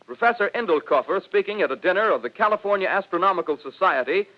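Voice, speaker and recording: An actor playing a studio radio announcer in a 1930s radio play, reading bulletins in a formal, measured way. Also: male voice, high-pitched. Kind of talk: 1930s radio drama acting. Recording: dull old radio broadcast.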